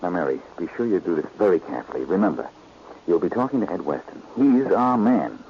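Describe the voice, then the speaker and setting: An actor playing a confident, glib, teasing amateur detective in a 1940s radio drama. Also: male voice, low-pitched, lively intonation, fast talker, slight background noise. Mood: brisk, intent. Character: wisecracking, confident amateur detective, adult man. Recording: muffled, dull vintage radio recording.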